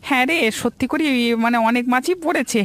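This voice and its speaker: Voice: high voice, fairly quick, fairly bright tone. An actor voicing a grumbling but devout village fisherman in a moral cartoon story. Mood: relieved, practical. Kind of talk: Bengali moral cartoon dubbing.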